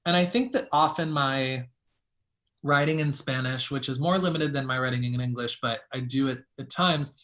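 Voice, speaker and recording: A man's voice: mid-range voice, normal speed, fairly bright tone. A young man with a Miami accent, a poet answering questions on a video call and thinking aloud with many fillers. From Miami, United States. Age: young adult. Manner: thoughtful, hedging, many "like" fillers. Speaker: poet guest. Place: small room at home, indoors. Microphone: video call webcam.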